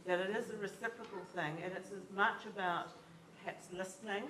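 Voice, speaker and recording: A woman responding to a lecture as discussant, talking loudly and enthusiastically in a lecture theatre. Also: mid-range voice, fairly even intonation, a little fast, crowd noise. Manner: warm, enthusiastic, loud voice. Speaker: seminar discussant. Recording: echoey lecture hall.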